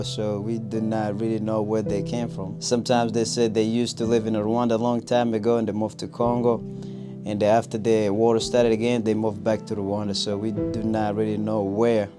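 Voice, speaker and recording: A man in his twenties, speaking in a soft and reflective way in a documentary interview. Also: on the low side, little pitch movement, moderate pace. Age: 20s. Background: music.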